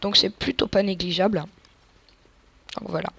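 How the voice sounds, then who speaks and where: A woman's voice: medium pitch, steady tone, normal speed, creaky, fairly bright tone, slightly rough. A woman with a cold giving casual, crude, joking gameplay commentary and explaining tactics to viewers as a let's play gamer. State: has a cold.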